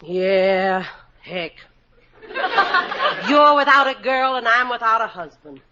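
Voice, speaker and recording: A wisecracking wife with a high, lively voice that jumps all over the place. The sound is a dull, scratchy old radio broadcast with clear surface noise. It is brisk 1940s radio comedy acting. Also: female voice, a loud crowd. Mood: teasing. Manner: teasing, quick-witted, laughing. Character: wisecracking married woman, bored and feisty. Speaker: radio actress.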